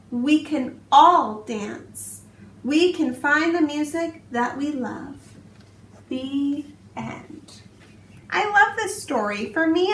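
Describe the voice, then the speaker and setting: Female teacher, high-pitched, expressive storybook read-aloud for small children; roomy, distant recording with faint noise. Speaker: teacher reading to her class.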